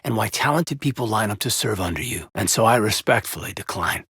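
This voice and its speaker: A man playing an earnest, loyal soldier officer in a space-war video game, with a husky, raspy voice and a sincere, measured manner. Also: on the low side, lively intonation, a bit bright, no room sound, clean studio sound, heavily acted. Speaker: video game squadmate character. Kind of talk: dramatic video game voice acting.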